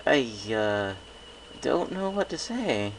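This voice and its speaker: A man's voice: mid-range voice, sing-song, slight background noise, voice pushed up, rough recording. Man playing a hesitant, awkward stallion. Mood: flustered, awkward. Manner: halting, stammering. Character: hesitant, awkward, bewildered grown stallion pony.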